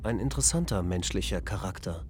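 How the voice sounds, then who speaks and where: A man's voice: deep voice, animated, fairly quick, darkish tone, a little hiss or hum. An actor playing a polite male ship computer AI in a radio play, speaking formally and logically. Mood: even. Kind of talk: radio drama acting.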